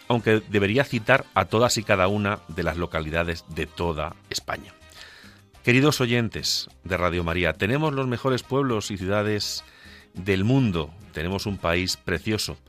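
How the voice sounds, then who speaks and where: A man from central Spain with a Castilian accent and a lowish voice delivers a scripted radio monologue. He speaks in a rhetorical, emphatic, declamatory way. Music plays faintly behind him. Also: a bit bright. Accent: central Castilian Spanish. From La Mancha, central Spain. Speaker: radio contributor. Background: music under the voice.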